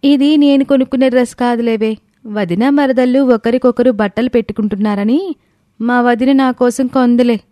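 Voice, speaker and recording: A woman's voice: on the high side, fairly even intonation, moderate pace, dark tone, no room sound, natural voice. A woman playing a resentful, scheming young college girl in an audio story, complaining and persuasive. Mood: scornful. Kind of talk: scripted audio story dialogue.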